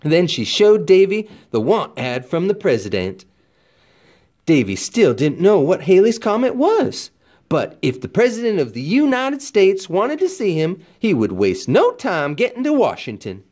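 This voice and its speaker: Animated man reading a storybook, lively pitch swings. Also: measured pace. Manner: animated, folksy storytelling with dramatic emphasis. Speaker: read-aloud narrator, teacher. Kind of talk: expressive scripted storybook narration for children.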